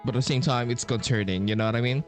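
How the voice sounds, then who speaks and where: A man giving casual, rambling playthrough commentary as a game streamer, halting and slangy. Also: medium pitch. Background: video game music.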